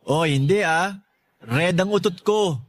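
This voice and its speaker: An actor playing a cheeky, lovestruck young loafer in a Filipino radio drama, teasing and wheedling with corny playfulness. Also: male voice, high voice, slow pace, no echo. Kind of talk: Tagalog radio drama acting.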